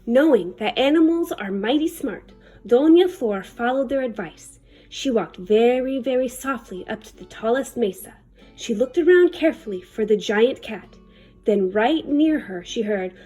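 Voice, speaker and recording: A woman reading a children's picture book aloud in an expressive, dramatic manner. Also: sits high, slightly rough. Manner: animated, dramatic. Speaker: children's story reader.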